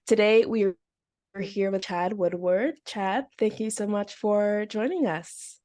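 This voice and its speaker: A woman hosting a casual interview, her voice a little raspy as she gets over a cold. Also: mid-range voice, takes it slowly, dark tone. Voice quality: slightly raspy. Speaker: interview host.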